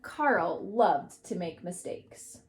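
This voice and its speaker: Slow-paced woman reading aloud to children. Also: lowish voice, animated, a little mellow. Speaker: school educator reading to children. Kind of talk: read-aloud storytelling lesson for children.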